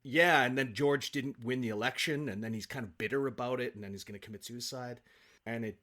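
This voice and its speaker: A man in an interview, telling anecdotes with nerdy, animated enthusiasm. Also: mid-range voice, brisk. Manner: enthusiastic, self-confessed film nerd. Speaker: guest, stage actor.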